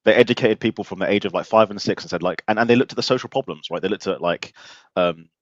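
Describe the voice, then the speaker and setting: Deep, fast-talking male podcast host. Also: no echo, highs cut off. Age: around 40. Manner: jokey, rambling, says "like" often. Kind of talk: casual interview chat.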